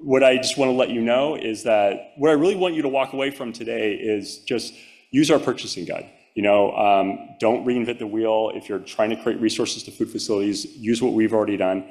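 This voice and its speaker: A man's voice: fairly low pitch, fairly quick, darkish tone. A man giving a conference talk and answering audience questions, casual with many "um"s and "like"s. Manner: casual, many fillers and restarts. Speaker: conference presenter. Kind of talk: presentation and Q&A answers.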